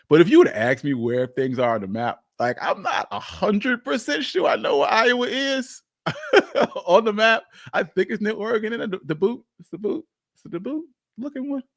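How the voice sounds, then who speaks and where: A man's voice: mid-range voice, no room sound, studio quality. A man hosting a casual, unscripted livestream, rambling informally and often repeating his phrases. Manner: informal, chuckles often, swears mildly. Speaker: livestream host.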